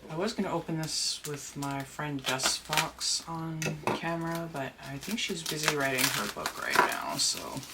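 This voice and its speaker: A deep-voiced person chatting casually while unboxing a doll at home, rambling and gushing with excitement. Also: a little slow, few pauses. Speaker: doll collector and vlogger. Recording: noisy home recording.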